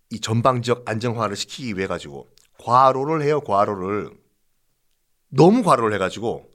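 A man telling history as a host in a conversational, dramatic and jokey style with emphatic repetition. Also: mid-range voice. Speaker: history storyteller and podcast host. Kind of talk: animated conversational history storytelling.

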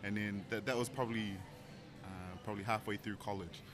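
Soft-spoken Tongan-born man over harmonica music. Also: lowish voice, steady tone, a little fast. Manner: thoughtful, frequent ums and restarts. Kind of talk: reflective personal story. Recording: harmonica music playing behind.

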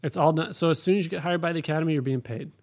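A man's voice: a little high, rapid-fire. A man who works as an air traffic controller, answering interview questions in a plain, practical, explanatory way.

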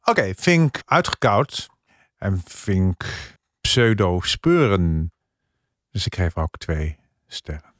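A man's voice: low-pitched, big pitch swings, takes it slowly, no echo, studio quality. A man co-hosting a podcast, giving his opinions in casual conversation. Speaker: podcast co-host and reviewer. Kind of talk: casual podcast review chat.

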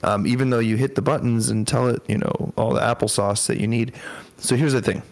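A man explaining things in an informal, conversational way on a microphone set to sound bassy. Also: fairly even intonation, brisk, gravelly. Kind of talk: casual explaining. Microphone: close microphone set to sound bassy.

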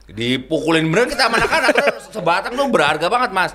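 A young man from East Java with a Javanese accent, telling animated stories in casual chat and laughing often. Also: sits high, fast talker, a crowd in the background. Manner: laughing storyteller, animated anecdotes. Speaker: guest.

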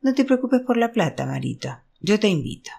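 A narrator voicing a frank, flirtatious divorced aunt in an audiobook novel, brisk, outspoken and teasing. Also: mid-range voice, very animated, creaky, a little mellow, voice pushed up, exaggerated voice.

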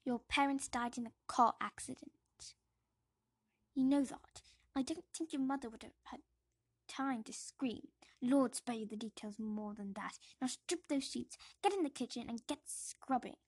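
A woman reading aloud and voicing a harsh middle-aged aunt who scolds loudly, sharply and with contempt. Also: a little high, measured pace, husky, no echo, clean studio sound. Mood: cold.

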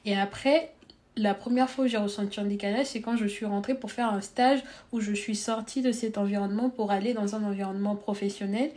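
A woman's voice: medium pitch, fairly quick. A young woman with a Congolese accent touched by French, telling a personal story as a podcast guest, earnest and reflective. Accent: Congolese with French influence. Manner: reflective, earnest, lively when recounting.

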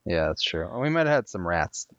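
A man hosting a casual home podcast, talking in a monotone with many ums and uhs, recorded on a headset. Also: low-pitched, brisk, smooth, dry recording, highs cut off. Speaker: podcast host. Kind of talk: casual solo podcast talk. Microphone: USB desktop headset.

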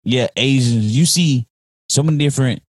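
A man's voice: medium pitch, moderate pace, husky, no room sound. A man in a casual podcast conversation, talking in a heated, rambling way and often saying "you know what I'm saying.".

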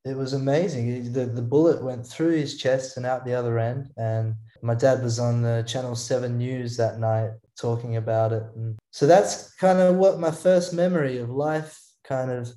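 An Australian man, a songwriter guest on a podcast, telling stories about his past in a halting, rambling way. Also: medium pitch, fairly even intonation, dark tone. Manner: rambling, halting, restarts often. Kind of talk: casual interview storytelling. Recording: echoey room.

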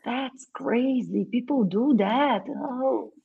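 A woman's voice: on the high side, slow pace, creaky, breathy edge. A woman from Brazil, a native Portuguese speaker with a Brazilian accent, chatting casually in English as a guest and laughing often. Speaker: guest, lawyer. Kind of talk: casual conversation. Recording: dull lo-fi sound.

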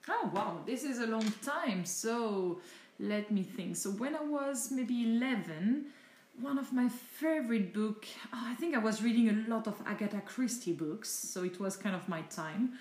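A middle-aged woman from France with a French accent, speaking English rather than her native French, giving chatty, thoughtful answers with searching pauses in a casual home interview. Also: fairly high pitch, expressive, darkish tone, echoey room. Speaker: interviewee, mother of the host.

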